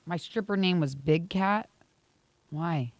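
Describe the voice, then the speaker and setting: A young woman co-hosting a morning radio show, slightly raspy, playful and teasing in quick banter. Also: fairly low pitch, no echo. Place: radio studio. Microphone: studio microphone.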